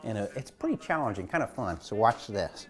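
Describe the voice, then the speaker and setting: A man's voice: lowish voice, big pitch swings, brisk. Man, gym teacher host, casual demonstration, encouraging, instructive, indoor home setting. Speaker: gym teacher hosting an exercise show. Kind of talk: casual game demonstration with coaching tips.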